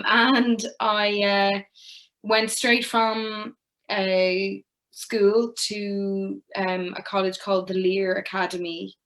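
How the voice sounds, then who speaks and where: A woman's voice: lowish voice, fairly bright tone. A young woman with an Irish accent, an actress speaking as a guest, talking with frequent fillers and restarts. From Ireland. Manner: hesitant, many fillers and restarts. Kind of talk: casual interview talk.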